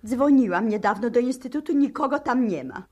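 An actress playing an anxious, impatient, well-to-do wife in a 1960s-style Polish radio drama, agitated and demanding. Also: female voice, on the high side, expressive, brisk, a little mellow, dull audio. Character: anxious, impatient professor's wife of high standing. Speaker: actor in a radio play.

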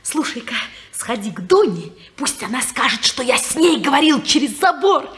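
An actress playing a lively, scheming young woman who talks quickly, teasing and impatient, in an old radio play. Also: high-pitched. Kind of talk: 1950s radio play acting.

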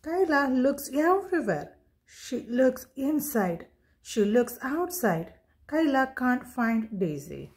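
Slow, animated woman reading a storybook. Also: high-pitched. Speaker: read-aloud storyteller. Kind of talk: children's picture book read-aloud.

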